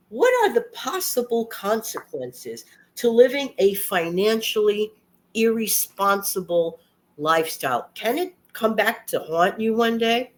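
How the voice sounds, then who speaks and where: A woman hosts a casual interview. Her pitch moves up and down freely, and she affirms her guest in a friendly, chatty way. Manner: affirming, chatty, quick to agree. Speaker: interview host.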